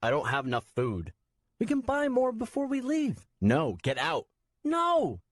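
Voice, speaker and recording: A man's voice: wildly swooping pitch, normal speed, no echo, studio quality. A man voicing a frightened young boy who pleads through tears in an audiobook. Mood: desperate. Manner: high, pleading and tearful.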